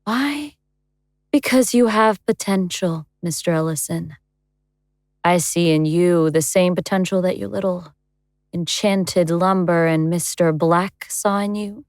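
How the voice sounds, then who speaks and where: An ancient elder goddess in human guise, acted by a woman, speaks very low and unhurried. She is coolly commanding and menacing, in a dry, close audio drama recording. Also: a little mellow, no room sound, natural voice. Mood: commanding, confident.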